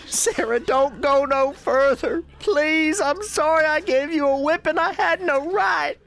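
A woman's voice: high-pitched, measured pace, vocal fry, a bit bright, exaggerated voice. A woman playing a frightened ghost girl, an imaginary friend, in a radio drama, pleading with breathless urgency. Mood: desperate pleading.

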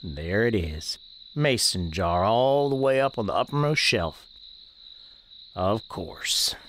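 An actor playing an elderly country storekeeper, narrating an audio drama in a folksy, deliberate, musing way. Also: male voice, fairly low pitch, lively intonation, fairly bright tone, a little hiss or hum. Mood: musing, unhurried. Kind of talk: first-person scripted narration, audio drama.

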